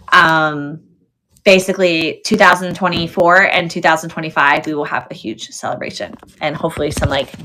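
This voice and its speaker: A woman's voice: lowish voice, pushed and bright. A woman hosting a casual live sale stream, chatting with viewers and thinking aloud as she goes. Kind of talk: casual livestream chat with viewers.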